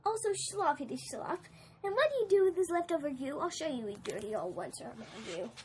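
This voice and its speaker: A woman's voice: high voice, sing-song, brisk, noisy background. A woman reading a rhyming children's story aloud in character, with a scolding, emphatic delivery.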